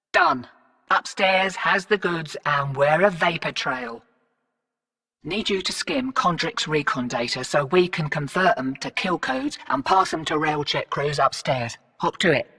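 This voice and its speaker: An actress playing a brash, sardonic British mercenary who gives cheeky, slangy, commanding mission orders in a video game, with distant, reverberant processing. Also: female voice, deep voice, sharp tone, exaggerated voice. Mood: pleased, brisk, then businesslike, urging.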